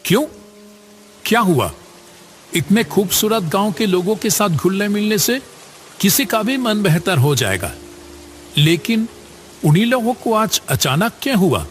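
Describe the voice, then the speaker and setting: A man's voice: high-pitched, sing-song, a little slow, long pauses, a little hiss or hum. A man voicing a kindly, generous older village headmaster in a cartoon story, chatty and avuncular. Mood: concerned, friendly. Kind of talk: Hindi cartoon story acting.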